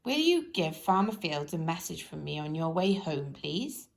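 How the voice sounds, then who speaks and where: Woman as village policeman, storybook character, brisk, official, polite, businesslike. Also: low voice, sing-song, creaky, pitched below the performer's own voice, exaggerated voice.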